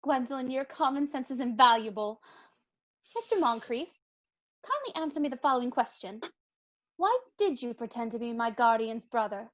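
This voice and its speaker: A woman's voice: a little high, lively intonation, normal speed, fairly bright tone. A woman playing a prim, naive young country lady with earnest, formal speech in a comic stage play reading. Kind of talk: dramatic reading of Victorian comedy play.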